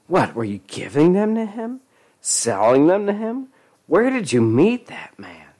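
A man's voice: sits high, sing-song, a little slow, voice pushed up, exaggerated voice. A man voicing an angry, overbearing middle-aged mother who scolds relentlessly in a storybook reading. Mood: accusing. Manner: scolding, indignant, forceful.

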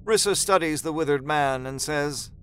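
A man's voice: a little high, normal speed, a bit bright, slight background noise. A man narrating a scripted horror story in a dramatic storytelling style.

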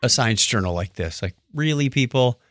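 A male podcast co-host with a lively, swooping delivery and a bright, forward voice. He chats casually, in a wry and joking way, with a touch of disbelief. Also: mid-range voice, moderate pace, no echo, studio quality.

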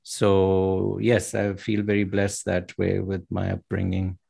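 A man answering interview questions as an author and academic, speaking in an articulate, measured way with light laughs. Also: low-pitched, completely flat delivery, creaky, warm tone, slightly rough. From United States and Pakistan.

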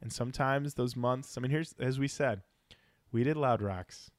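A man's voice: mid-range voice, moderate pace, no room sound. Casual male podcast host, dry close sound. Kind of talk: casual podcast chat, reading out listener feedback.

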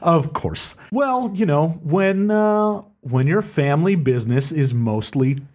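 A man's voice: a little high, animated, a little slow, a little mellow, voice pushed up. A man voicing a nervous young male thief who stammers and plays himself down. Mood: sheepish. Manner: stammering, self-deprecating.

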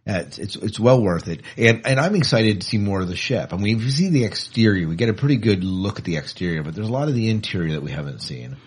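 A man's voice: on the low side, animated, quick pace, few pauses, slightly rough. A man hosting a podcast in a casual, chatty, informal way, often repeating himself. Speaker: podcast host.

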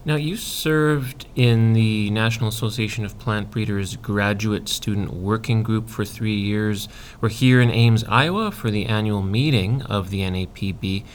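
A man interviewing a graduate student, asking measured, friendly questions. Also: lowish voice. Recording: faint background noise.

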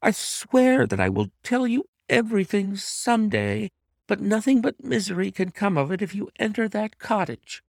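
A man's voice: high voice, very animated, normal speed, vocal fry, darkish tone, dry recording, studio quality, pitched above the performer's own voice, exaggerated voice. A man voicing an anxious, secretive wife who pleads desperately and emphatically in an audiobook mystery. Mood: desperate pleading. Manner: imploring, emphatic, breathless entreaty. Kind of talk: dramatized audiobook reading of a classic mystery story.

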